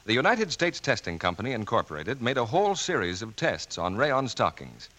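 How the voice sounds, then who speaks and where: A man's voice: mid-range voice, sing-song, brisk, bright, forceful tone. A man working as a 1940s radio announcer, reading scripted introductions and ads in a polished, persuasive way.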